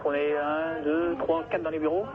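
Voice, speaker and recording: A man's voice: fairly high pitch, level tone, moderate pace. A man working in an office, talking casually on a tinny, noisy phone line. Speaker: transport company office worker.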